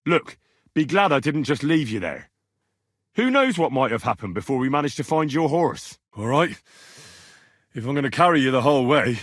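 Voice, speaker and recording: An earnest young medieval commoner for a video game. His voice is husky and swings expressively, with a cheeky, dogged, bantering way of speaking. The recording is close and dry. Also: fairly high pitch, fairly bright tone, dry recording. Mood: exasperated. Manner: colloquial, questioning, bantering. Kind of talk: video game character dialogue.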